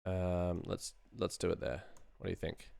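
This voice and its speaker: A man with a very low voice and plenty of vocal fry talks casually as he works. He is low-key and musing, as if half to himself, close to the microphone. Clear background noise and clicking sit behind his voice. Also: little pitch movement, moderate pace, dark tone. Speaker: sound designer streaming his work. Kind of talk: casual livestream commentary while working. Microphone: microphone close in front of him.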